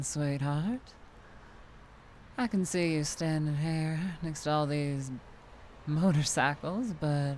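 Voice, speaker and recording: A woman's voice: low voice, a little mellow, a little hiss or hum. A woman playing a tall, teasing, predatory vampire trucker with a Southern US accent and a flirtatious drawl, in an ASMR roleplay. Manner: slow teasing drawl with chuckles and pet names.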